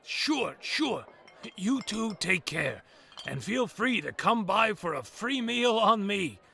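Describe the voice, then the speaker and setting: A man's voice: high voice, very animated, takes it slowly, creaky, pushed and bright, heavily acted. A man playing a folksy, hesitant old diner owner in an audio drama. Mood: relieved, grateful.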